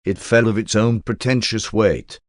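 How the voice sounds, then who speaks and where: A man reads aloud in the style of a formal audiobook. His voice sits on the low side, and the delivery is measured and expository. The sound is dry, with little room around it. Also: no echo. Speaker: audiobook narrator. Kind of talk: scripted narration, reading a religious text aloud.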